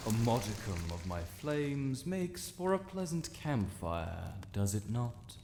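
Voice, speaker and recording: Man voicing a slow, regal British dragon lord. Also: medium pitch, sing-song, background noise. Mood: gently concerned. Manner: formal, measured, stately diction. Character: ancient male dragon lord, regal, stern yet protective. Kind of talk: fantasy audio roleplay, addressing the listener. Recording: echoey cavernous reverb.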